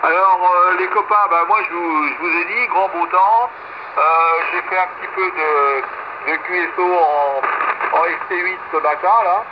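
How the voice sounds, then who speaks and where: A man's voice: high-pitched, fairly even intonation, a little slow. A man talking casually as a ham radio operator, rambling with many fillers and restarts as he passes the mic around. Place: home radio station. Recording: lo-fi shortwave radio with static.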